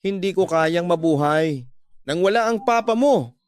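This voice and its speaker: A man's voice: high-pitched, expressive, unhurried, voice pushed up. A man voicing a grieving widowed mother in a radio drama, wailing and pleading in despair.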